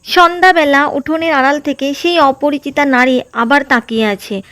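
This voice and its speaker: A woman narrating a cartoon moral story in a steady, gentle way, with faint music behind. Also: high-pitched, normal speed. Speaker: narrator and voice of Seema.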